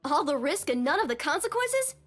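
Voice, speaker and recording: High-pitched feisty little yordle cannoneer. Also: female voice, a little fast, faint music behind. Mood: excited. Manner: brash, gung-ho, excitable. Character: small feisty reckless female yordle cannoneer who loves explosions. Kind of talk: video game character voice lines.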